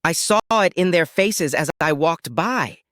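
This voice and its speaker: A man's voice: a little high, animated, measured pace, a bit bright, no echo, clean studio sound, pitched above the performer's own voice. A man voicing a distraught, pleading wife who stammers and gasps through her words. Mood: flustered, defensive.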